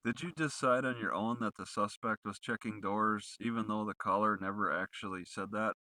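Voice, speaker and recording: A man's voice: lowish voice, steady tone, moderate pace, pushed and bright, no room sound. A man putting accusatory, leading questions in the style of a rehearsed cross-examination.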